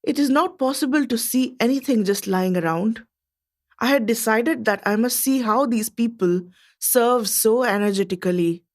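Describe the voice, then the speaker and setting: A woman's voice: a little high, normal speed, close to an everyday voice. An actress playing an admiring, curious Indian lady traveller in Edwardian England, reading her diary with formal, precise expression for a radio play. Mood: impressed, curious. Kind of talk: scripted dramatic reading of a diary.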